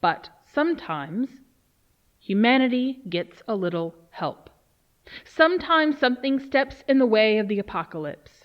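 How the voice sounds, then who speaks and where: A woman playing a grave research official, delivering a solemn, measured dramatic briefing. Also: on the high side, expressive, lo-fi sound. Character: grave female research official briefing on a doomsday alien threat. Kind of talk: dramatic scripted monologue.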